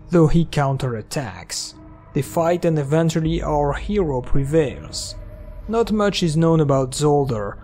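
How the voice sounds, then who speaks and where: Higher-voiced man narrating over music. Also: warm tone. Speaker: video essay narrator. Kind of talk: scripted breakdown commentary. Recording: music under the voice. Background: video game soundtrack music.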